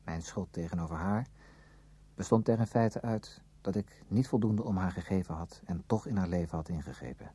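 A brooding man burdened by guilt, with a low, mellow voice. He speaks in a measured, inward, hesitant way, as in a confessional radio play. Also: husky. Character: brooding, guilt-ridden man narrating his past, protective. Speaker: narrator and lead character. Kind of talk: Dutch radio drama acting, confessional narration.

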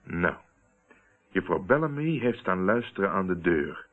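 Controlled male investigator, low voice, deliberate accusations, radio drama, narrow tinny recording. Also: very animated. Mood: accusing. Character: steady, probing man confronting a murderer. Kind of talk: radio play acting.

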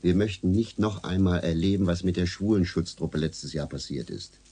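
Very low-voiced, self-assured activist. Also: male voice, moderate pace, dark tone, husky. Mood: indignant, insistent. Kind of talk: naturalistic radio drama dialogue.

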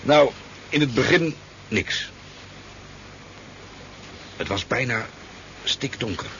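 A man's voice: mid-range voice, lively intonation, takes it slowly. An actor playing a talkative, easygoing sport fisherman in a 1970s radio drama, telling his tale in a hesitant, rambling way. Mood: animated storytelling. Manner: hesitant, rambling storytelling with stammers. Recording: dull, noisy 1970s radio recording.

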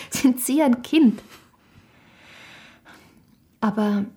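An actress playing a frank, practical young shopkeeper's daughter in a German radio drama, earnest and admonishing. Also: female voice, mid-range voice, mellow. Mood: brisk, concerned.

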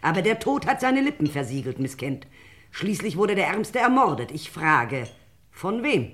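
A woman playing a stern, insinuating manor servant in a theatrical German radio crime play, pointed and deliberate. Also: fairly low pitch, sing-song. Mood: indignant, pointed.

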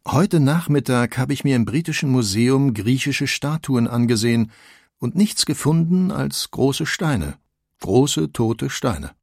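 An actor voicing a reflective, searching artist who muses on his work in a deliberate, pensive way in an audio portrait. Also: medium pitch, a little mellow, slightly rough, no echo, studio quality, full character voice. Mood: critical, musing. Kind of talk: acted reading of an artist's quotations.

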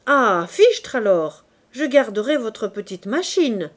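A woman reading aloud voices a jovial, sly man who gossips loudly and teases, in a raised voice. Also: on the high side, expressive, a little slow, pitched above the performer's own voice. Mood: laughing. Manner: loud, boastful, teasing.

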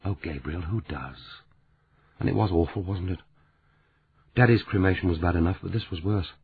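Soft-spoken hurt young woman, bitter and grieving, read by a deep husky-voiced man, tinny phone-like sound. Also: normal speed, a little hiss or hum.

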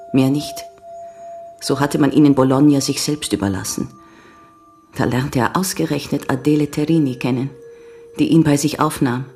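An actress playing a grave young American woman giving measured, sombre testimony in a German radio crime drama. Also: rumbling low, a little mellow. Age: young adult. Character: grave young American woman recounting her father's betrayal. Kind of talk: German radio play acting.

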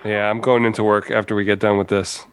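A man's voice: on the low side, level tone, fast talker. A man chatting casually over a phone line in short, laconic remarks. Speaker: podcast co-host or friend of the host. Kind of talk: casual chat. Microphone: telephone. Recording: phone line with faint noise.

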